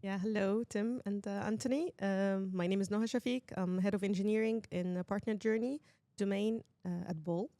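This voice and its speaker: A woman's voice: medium pitch, steady tone, moderate pace, mellow, no room sound. A woman, a podcast guest who speaks earnestly and uses many fillers. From Egypt. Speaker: guest, head of engineering. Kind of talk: casual podcast conversation.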